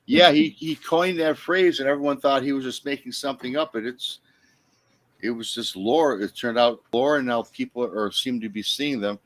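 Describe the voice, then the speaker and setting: An American man chatting casually as a podcast guest, halting and circling back as he talks. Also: mid-range voice. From Michigan, United States. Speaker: guest, Bigfoot researcher and podcaster. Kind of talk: casual conversational podcast talk. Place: remote livestream call.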